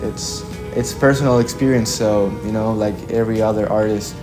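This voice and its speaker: Low-voiced young man. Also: steady tone, over music. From Chile. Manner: reflective, halting, with frequent "like" and "kinda". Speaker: musician being interviewed. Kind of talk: casual interview answers.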